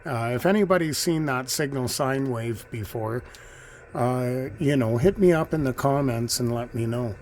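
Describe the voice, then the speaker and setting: A man giving casual, off-the-cuff commentary as a radio hobbyist, in a halting, musing way. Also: mid-range voice, darkish tone. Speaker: radio hobbyist narrating a video. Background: shortwave radio noise.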